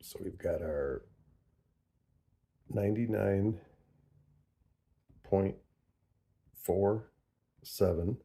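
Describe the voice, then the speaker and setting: Deep, gravelly man explaining casually. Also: mellow. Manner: deliberate, halting, working through figures aloud. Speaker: host of a DIY audio tutorial. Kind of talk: casual, thinking-aloud tutorial narration.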